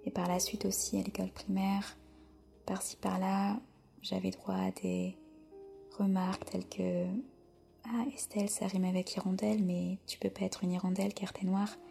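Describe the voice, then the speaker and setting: A soft-spoken French woman, a podcast guest, answering slowly with many hesitant pauses in a whispery voice. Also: medium pitch, flat delivery, gravelly, faint music behind. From France. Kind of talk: podcast interview.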